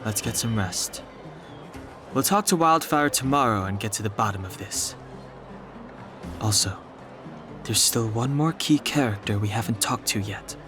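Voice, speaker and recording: An actor playing a calm, serious young man adventurer in a video game, speaking in a reserved, deliberate way. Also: on the low side. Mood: pensive, composed. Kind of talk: measured video game dialogue. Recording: music playing behind.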